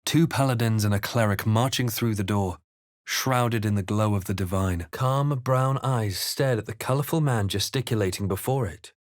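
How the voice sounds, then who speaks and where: An actor playing a solemn adventurer who recounts a dark fantasy journey in measured, literary game narration. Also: male voice, fairly low pitch, dry recording, clean studio sound, lightly acted. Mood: grave. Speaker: narrating player character. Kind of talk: scripted first-person fantasy game narration.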